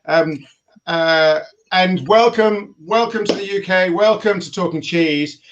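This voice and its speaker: A man's voice: high-pitched, takes it slowly. A man with an English accent hosting a casual, bantering online interview over a video call. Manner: jokey, bantering. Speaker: host. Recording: slightly dull, lo-fi call audio.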